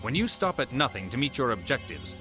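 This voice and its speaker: An actor playing a cold, condescending intelligence chief in an anime game dub, measured, formal and reproachful. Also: male voice, mid-range voice, very animated, music in the background, lightly acted.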